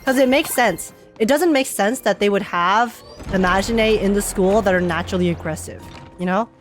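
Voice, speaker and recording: A woman streamer giving casual, excitable commentary while playing a game, chatty and quick to swear and laugh. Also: medium pitch, soft background music.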